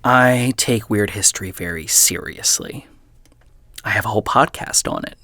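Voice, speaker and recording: Inquisitive weird-history podcaster, earnest, probing, fairly low pitch, dry close recording, audio drama. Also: male voice, normal speed, creaky, pushed and bright, dry recording. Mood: serious, factual.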